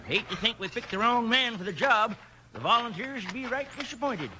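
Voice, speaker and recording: A man's voice: very high-pitched, expressive, a little fast. An actor playing a crafty, teasing old frontier fireman with folksy, sly speech in a 1950s radio western. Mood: needling, bossy. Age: older. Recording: noisy, clicking vintage radio recording.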